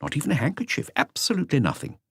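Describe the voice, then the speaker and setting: Man voicing an inquisitive, higher-pitched forensic technician. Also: huge pitch swings, very fast, gravelly, slightly rough, dry recording, clean studio sound, full character voice. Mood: matter-of-fact. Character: inquisitive, businesslike male police forensic technician. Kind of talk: audiobook character dialogue.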